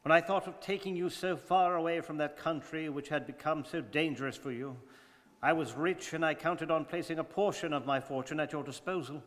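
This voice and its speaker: A man playing a reserved, precise English gentleman in a radio drama, speaking formally and with stoic restraint. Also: fairly high pitch, fairly quick, natural voice. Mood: grave, resigned. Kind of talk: stage radio drama acting. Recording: very reverberant studio hall.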